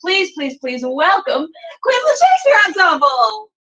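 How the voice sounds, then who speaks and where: A woman hosts a show informally in a high voice that swings up and down. Her delivery is casual, excitable and exclamatory. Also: unhurried. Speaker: show host. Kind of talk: casual, excitable hosting and interviewing.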